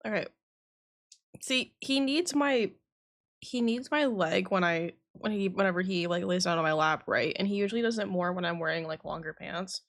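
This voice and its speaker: A woman's voice: lowish voice, moderate pace, a bit bright. A woman streaming a game, chatting casually in a rambling way and swearing now and then. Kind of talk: casual livestream chat.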